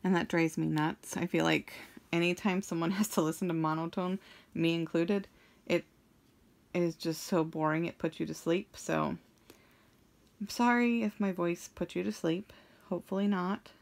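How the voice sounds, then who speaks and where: A young woman with a deep, somewhat monotone voice, chatting in a slow, meandering way with many pauses and ums as she works on a craft for her vlog. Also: creaky. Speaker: craft vlogger. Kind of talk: rambling chat while crafting.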